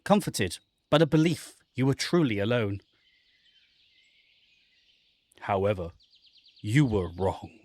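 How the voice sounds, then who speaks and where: A man's voice: on the low side, sing-song, a little mellow, dry recording, voice pushed down. Man playing a brooding, haunted man of the wilderness. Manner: dramatic, literary, deliberate. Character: anguished guilt-ridden man fleeing into the wilderness, brooding. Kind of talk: dramatic reading of a horror visual novel.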